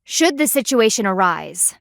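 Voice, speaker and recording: A woman's voice: medium pitch, sing-song, measured pace, vocal fry, no echo, clean studio sound. A woman voicing a stern, proud female panda warrior in a video game, with formal, clipped and dryly sardonic diction. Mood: stern, impatient. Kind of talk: video game character voice lines.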